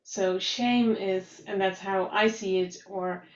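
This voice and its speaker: Brief: woman, slow measured speech, roomy dull video-call sound. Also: medium pitch, steady tone. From Munich, Germany. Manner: measured, uses filler pauses. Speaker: science journalist, emotions trainer.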